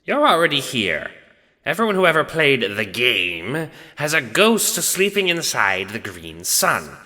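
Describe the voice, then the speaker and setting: Audio drama role: big dim lug of a death god played by a man, pitched up, swooping, bitter and crude, heavy reverb. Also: huge pitch swings, a little slow, sharp tone, exaggerated voice. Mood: scornful. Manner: blunt, slangy, casually profane.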